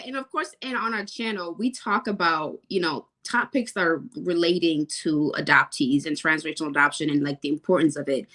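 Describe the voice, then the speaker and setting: A woman's voice: on the low side, a bit bright. A young adult woman hosting a podcast, enthusiastic and loose, with many fillers. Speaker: podcast host.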